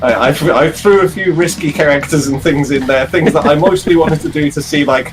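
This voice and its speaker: A man's voice: on the high side, brisk, background noise. A British man chatting casually and effusively as a podcast co-host, in a wordy way. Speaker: podcast co-host and game master. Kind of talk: casual chat.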